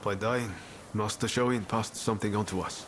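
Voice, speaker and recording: An actor playing a resolute, spirited young samurai rebel in a dramatic video game cutscene, speaking in an earnest, plain-spoken way. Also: male voice, lowish voice, fairly even intonation, normal speed, a little hiss or hum, natural voice. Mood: solemn, resolved. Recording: loud music bed. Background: dramatic music.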